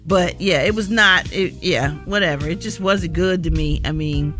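A woman's voice: on the low side, moderate pace, fairly bright tone, soft background music. A woman presenting entertainment news on morning radio, with informal, opinionated chat.

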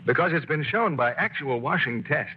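A man's voice: a little high, animated, normal speed, a little hiss or hum. A man working as a radio announcer, giving station identification and reading a commercial in an upbeat salesman's manner. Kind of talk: scripted announcing and commercial read. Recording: dull 1940s radio broadcast.

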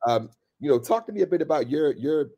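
A man's voice: on the high side, expressive, fairly quick, dark tone, dull audio. A man from Washington, D.C., a hip-hop journalist leading an easygoing casual conversation. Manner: easygoing, leads the talk with frequent fillers.

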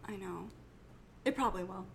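A woman's voice: mid-range voice, moderate pace, creaky, fairly bright tone, slight background noise. A young woman with a Minnesotan accent, a podcast guest talking casually and poking fun at herself. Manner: self-deprecating, chatty.

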